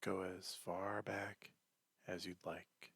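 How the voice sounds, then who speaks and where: A man leading a guided meditation in a soft, breathy, gentle voice, unhurried and leaving long pauses between short phrases. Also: sits low, level tone, no room sound. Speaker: meditation teacher.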